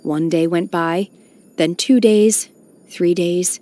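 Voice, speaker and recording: An actress playing a solemn, aloof young woman trained by immortals in a video game, speaking in a formal, measured, restrained way with a touch of melancholy. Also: lowish voice, warm tone. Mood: somber, wistful. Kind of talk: scripted video game character voice lines.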